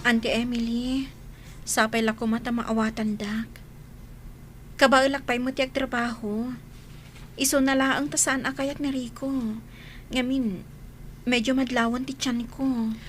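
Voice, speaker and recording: Woman playing a high-voiced, meek young woman. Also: flat delivery, measured pace, pauses often, slight background noise, close to an everyday voice. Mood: grateful, meek. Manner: polite, meek, hesitant. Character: meek, grateful young pregnant waitress. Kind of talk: radio drama acting.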